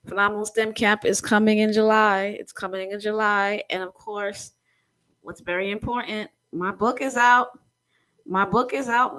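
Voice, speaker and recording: A woman's voice: mid-range voice, fairly bright tone. A woman hosting a live-streamed interview, speaking in a chatty, encouraging way. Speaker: host.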